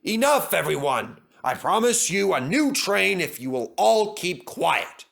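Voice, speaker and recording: A commanding storybook railway boss, put on by a male reader, formal and authoritative. His voice is lifted in pitch with a bright, forceful tone. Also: big pitch swings, a little slow, creaky, heavily acted.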